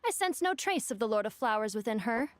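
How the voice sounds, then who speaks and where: Fantasy game: aloof, regal female spirit, very high register, formal, faint music beneath. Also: big pitch swings, a little fast. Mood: haughty. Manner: formal, imperious. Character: proud, aloof, ancient female djinn spirit. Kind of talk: fantasy video game voice acting.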